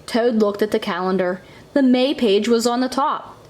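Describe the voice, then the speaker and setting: A woman's voice: fairly high pitch, moderate pace. A woman, a mother, narrating a children's storybook aloud for her child.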